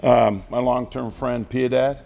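An older man, a nonprofit head, speaking at a press conference in a folksy, joking way, his voice raspy and hoarse. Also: mid-range voice, level tone, unhurried, darkish tone. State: hoarse from yelling the night before. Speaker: nonprofit CEO. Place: large indoor hall. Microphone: podium mic.

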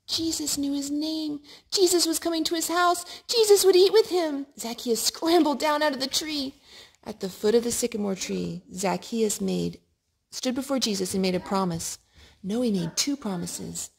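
A woman reading a picture book aloud to a child in an expressive way, pausing to ask questions. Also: high-pitched, creaky. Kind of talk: storytime read-aloud. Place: living room indoors at a couch.

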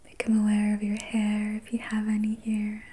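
A woman whispering softly and breathily as an ASMR host, guiding relaxation in a soothing, gentle, unhurried manner. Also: medium pitch, completely flat delivery, breathy edge. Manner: soothing, slow, gentle personal attention. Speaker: ASMR host and reiki practitioner.

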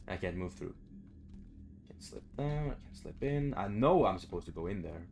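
Low-voiced young man playing games. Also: expressive, normal speed, gravelly, over music. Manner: sweary, muttering to himself. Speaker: gaming video host. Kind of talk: casual let's play commentary. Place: small room indoors at home.

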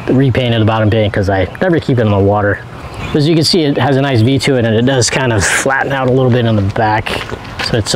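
A man in his thirties, a channel host, casually walking viewers around a boat outdoors, talking into a handheld camera with relaxed fillers. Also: medium pitch, slight background noise. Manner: relaxed, talks to viewers with fillers while pointing things out. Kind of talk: casual walkthrough vlog. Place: outdoors in a driveway beside a boat on a trailer. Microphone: handheld camera carried around, earlier on a tripod.

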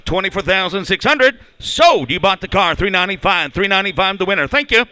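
A middle-aged man calling bids in a rhythmic, rapid-fire auction chant into a handheld mic in a large indoor auction hall. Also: high voice, lively intonation, bright, forceful tone. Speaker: auctioneer contestant.

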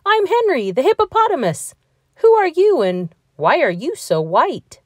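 A woman's voice: high voice, big pitch swings, a little slow, a little mellow, no echo, clean studio sound, pitched above the performer's own voice. A woman voicing a big, friendly male hippopotamus from a children's storybook, playful and hearty. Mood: playful, booming.

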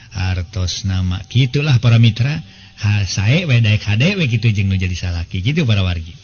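Lalaki sepuh juru dongéng, sora rada handap. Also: male voice, fairly low pitch, a little mellow. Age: 70s. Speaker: storyteller and show host. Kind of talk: narrated folk serial storytelling. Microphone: close desk microphone. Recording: slightly dull sound with faint noise.

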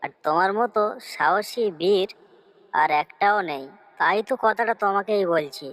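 A woman voicing a cunning fox in a cartoon story, coaxing and flattering as it schemes. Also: mid-range voice, normal speed, close to an everyday voice. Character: cunning fox, sweet-talking helpful schemer.

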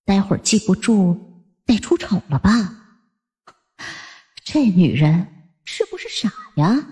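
Woman playing a husky, haughty official's wife. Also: medium pitch, sing-song, slow pace, mellow, no room sound, exaggerated voice. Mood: scornful. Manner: condescending, pointed. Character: haughty, disapproving middle-aged official's wife.

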